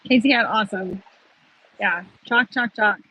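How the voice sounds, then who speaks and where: A woman's voice: medium pitch, a little slow, muffled recording, slight background noise. A woman live-streaming from a phone on a gimbal, chatting casually with her viewers with lots of fillers and giggles. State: a little thirsty. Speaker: livestreamer.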